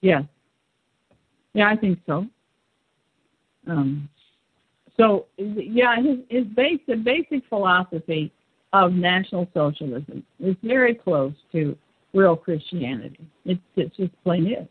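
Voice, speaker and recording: Husky woman on a narrow phone-like line. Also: lowish voice, lively intonation, takes it slowly, long pauses. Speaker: discussion co-speaker. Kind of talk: conversational discussion.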